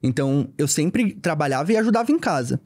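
A man's voice: on the high side, expressive, brisk, a little mellow. A young man in his twenties with a Brazilian accent, chatting informally and with slang as a podcast guest, close on a studio mic. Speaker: podcast guest, filmmaker and content entrepreneur. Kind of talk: casual interview chat, personal storytelling. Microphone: close studio mic on boom arm.

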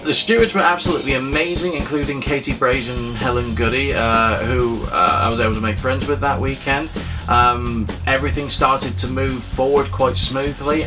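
A man in his thirties from England, telling a rambling, self-deprecating story to camera with a lot of uhs. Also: mid-range voice. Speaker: vlogger. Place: small room full of collectibles, indoors. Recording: distant-sounding voice over guitar music.

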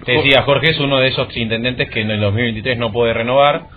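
Mid-pitched Argentine man, muffled audio. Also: fairly even intonation, soft background music. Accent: Rioplatense Argentine. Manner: halting, self-correcting. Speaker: radio host. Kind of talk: conversational radio presenting.

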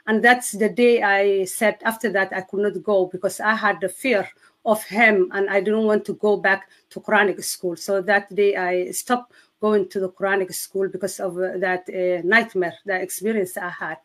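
A woman from Somalia with a Somali accent, speaking English as a second language, giving her personal testimony in an interview with earnest, halting speech as she searches for words. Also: mid-range voice, fairly even intonation, fairly bright tone. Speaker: guest sharing testimony.

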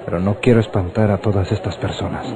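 An actor playing a stern, commanding Mexican police colonel in a radio drama, speaking in measured threats. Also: male voice, on the low side, fairly even intonation, crowd noise, close to an everyday voice. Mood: coldly threatening. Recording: noisy old radio drama recording.

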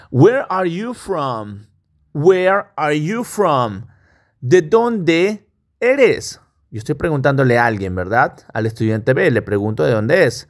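Man, Peruvian accent, native Spanish speaker, language teacher, unhurried pace, very lively intonation. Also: a little high. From Peru. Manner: slow, deliberate modelling of phrases. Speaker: English teacher, online course host. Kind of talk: step-by-step language lesson with repetition drills.

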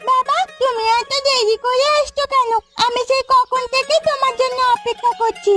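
একজন নারী কার্টুনে এক কৌতূহলী, জেদি ছোট্ট গ্রামের মেয়ের কণ্ঠ দিচ্ছেন, নাছোড়বান্দা আবদারের ভঙ্গিতে।. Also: female voice, very high-pitched, steady tone, moderate pace, pushed and bright. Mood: insistent, sulky. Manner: pleading, insistent questioning. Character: inquisitive, stubborn little village girl. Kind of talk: Bengali cartoon fairy-tale acting. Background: background music.

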